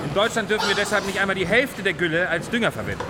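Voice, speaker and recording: Academic expert, didactic and slightly halting, higher voice, radio drama on noisy location. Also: male voice, expressive. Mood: emphatic, explaining. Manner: didactic, hesitant, with checking tags. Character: explanatory male nutrition and waste scientist. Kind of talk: naturalistic radio drama in reportage form. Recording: noisy outdoor-like ambience behind the voice.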